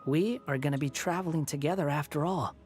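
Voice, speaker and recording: A man's voice: on the high side, a little fast, darkish tone, lightly acted. An actor playing a snarky, aloof young male wanderer in a game, speaking with cool, condescending, backhanded politeness. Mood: smug. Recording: game audio under music.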